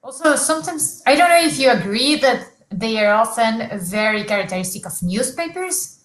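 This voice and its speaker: A woman's voice: on the high side, expressive, moderate pace, echoey room, low-quality recording. A woman presenting research at an academic conference, explaining examples step by step with frequent fillers and restarts over a video call.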